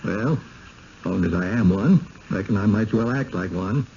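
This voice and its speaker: Mellow, folksy rural American servant. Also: male voice, on the low side, vocal fry. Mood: deferential, guarded. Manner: halting, homespun, plain-spoken. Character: folksy, uneasy servant in an eerie village. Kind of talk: 1970s radio drama acting. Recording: muffled, noisy old radio broadcast.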